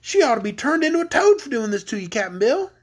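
A tender-hearted young girl from a fairy tale, acted by a reader, speaks earnestly in a raised, expressive voice. Her delivery is full of feeling. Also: mid-range voice, slow, breathy edge, voice pushed up, exaggerated voice. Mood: indignant. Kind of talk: storybook character voice.

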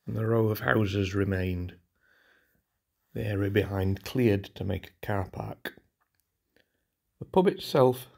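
A man's voice: medium pitch, gravelly, a little mellow, husky, no room sound, clean studio sound. A man in his forties casually narrating an urban exploration vlog, chatty and rambling with fillers, filmed on a phone held at arm's length outside. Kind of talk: casual walk-through commentary. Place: outdoors by a canal path. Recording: phone audio with outdoor noise.

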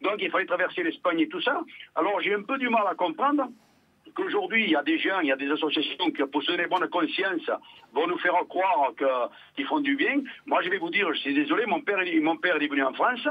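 A man's voice: sits high, fairly quick, breathy edge. A middle-aged or older man from Portugal, native Portuguese speaker, calling a radio show by phone and giving insistent personal testimony. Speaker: phone-in caller. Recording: muffled narrowband phone line.

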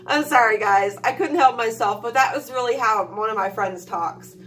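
High-voiced rambling woman from Alaska. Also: slow pace, recorded from a distance, faint music behind. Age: young adult. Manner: rambling, animated, many fillers and restarts. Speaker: vlogger. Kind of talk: casual chat to camera. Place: small room at home, indoors.

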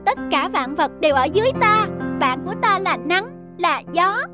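A very high, childlike cartoon voice plays a playful, lazy little boy. He exclaims, whines and daydreams in fairy-tale style. Music plays clearly behind him. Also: sing-song, normal speed. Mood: gleeful. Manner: exclamatory, whiny and dreamy. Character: young schoolboy, lazy and playful, who becomes a songbird. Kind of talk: animated fairy-tale dubbing.

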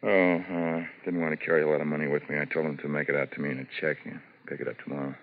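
An actor playing a slangy, impatient and evasive gambler husband in a 1950s radio drama. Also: male voice, extremely low pitch, level tone, very fast, slight background noise. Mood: evasive, reassuring. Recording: tinny, narrow old radio recording.

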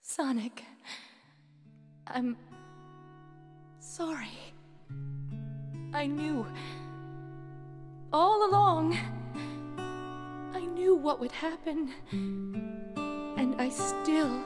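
An actress playing a gentle, anxious young female genie in a video game, earnest, halting and emotional. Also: very high voice, full character voice. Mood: weak, sorrowful. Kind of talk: dramatic video game acting. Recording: very loud music behind.

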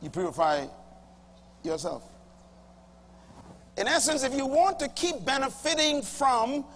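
A middle-aged Bahamian man preaching a sermon to a congregation, emphatic, swinging from loud bursts to low asides. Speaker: pastor. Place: large church auditorium with a congregation. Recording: reverberant hall, faint hum.

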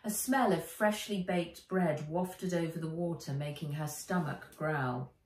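A middle-aged woman, an author reading aloud from a children's storybook in an expressive narrating style. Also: low voice, unhurried. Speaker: author reading own book. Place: living room or sunroom, indoors. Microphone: camera or phone a few feet away. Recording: roomy, distant home recording.

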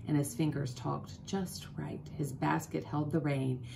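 A woman in her forties reading a children's picture book aloud in an expressive, deliberate way, pausing to put questions to listeners. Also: low voice, vocal fry, slight background noise. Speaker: school principal, guest storybook reader. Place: small office, indoors.

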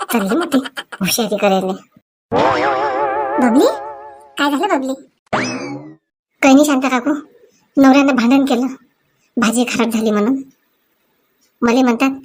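A woman voicing excitable, quarrelsome village women in a cartoon comedy, with a rural Vidarbha Marathi accent and a scolding, exclamatory manner. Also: high-pitched. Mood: sarcastic, then aggrieved. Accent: Marathi, Vidarbha dialect.

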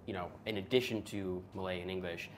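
Fast, eager young man interviewing. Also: deep voice, fairly even intonation, slight background noise. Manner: eager, upbeat, switches into other languages. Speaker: host, interviewer. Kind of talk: casual interview chat. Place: busy conference hall booth.

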